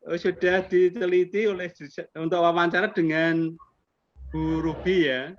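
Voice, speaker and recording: A man's voice: sits high, fairly even intonation, a little slow. A man in his fifties with a Javanese accent, an assessor chatting in a friendly way with teachers over a video call. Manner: friendly, chatty, mixes in Javanese politeness words. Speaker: accreditation assessor. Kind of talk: online interview with teachers. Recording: muffled video call audio with background noise.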